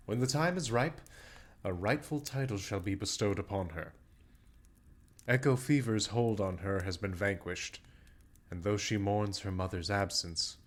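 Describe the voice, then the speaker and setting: A man's voice: fairly low pitch, close to an everyday voice. A man playing a noble, benevolent baron who speaks with formal, grandiose solemnity in a fantasy audio drama. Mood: kindly, reassuring.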